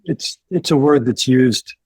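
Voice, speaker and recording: Older man, interviewer, slightly husky, careful and halting, dry close sound. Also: mid-range voice, a little mellow, no echo. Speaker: guest interviewer. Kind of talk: interview questions in conversation. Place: home living room.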